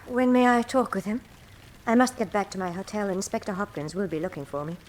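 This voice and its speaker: An actress playing a clever, guarded adventuress in a radio drama, with a refined and composed manner. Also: female voice, mid-range voice, fairly quick, a little mellow, a little hiss or hum, close to an everyday voice. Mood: poised, guarded. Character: poised, clever female adventuress posing as an heiress.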